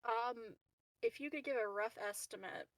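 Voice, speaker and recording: A woman's voice: a little high, moderate pace, fairly bright tone, no echo, far from the mic. A woman playing a tabletop game, chatting casually in a wry, loose, slangy way.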